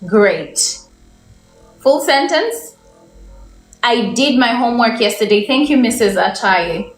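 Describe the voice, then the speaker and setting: A woman's voice: on the high side, animated. A young woman from Uganda teaching English on a livestream. She stretches out her example sentences and keeps asking viewers for the correct answer. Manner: slow, drawn-out example sentences, repeated questions to viewers. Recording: echoey room, faint background noise.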